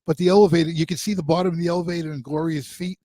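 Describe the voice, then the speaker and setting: A man with a Massachusetts accent co-hosts a studio chat show, spinning playful anecdotes. His voice sits a little high for a man. The sound is dry and close. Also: steady tone, racing, mellow, dry recording. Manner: chatty storyteller, playful. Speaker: co-host. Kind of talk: casual radio chat.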